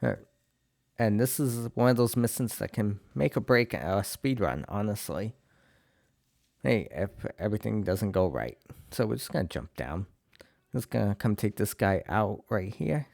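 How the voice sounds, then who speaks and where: A man with a New England accent and a slight lisp, talking through a video game mission in a relaxed, rambling way while fighting a cold. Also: mid-range voice, dry recording, studio quality. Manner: relaxed and rambling, many "uh"s and restarts. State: has a cold, clearing his throat. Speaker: game guide creator. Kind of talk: casual gameplay walkthrough commentary.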